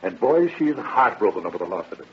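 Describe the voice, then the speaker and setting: An actor playing a shrewd, folksy police captain with a wry, needling, informal manner in a 1930s radio drama. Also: male voice, fairly low pitch, sing-song, fast, husky, exaggerated voice. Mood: coaxing. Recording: dull vintage radio broadcast.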